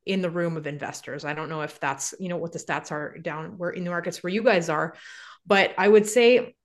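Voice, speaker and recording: A woman's voice: lowish voice, fairly quick. A woman, a podcast guest talking about real estate in loose conversation full of "you know" and "like". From Canada. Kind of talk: podcast interview answers.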